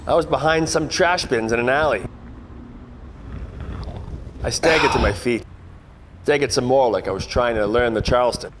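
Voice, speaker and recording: A man playing a wry, deadpan private eye and ex-cop in a parody of 1940s radio detective drama, narrating and trading wisecracks. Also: medium pitch, moderate pace, pauses often, very noisy, natural voice.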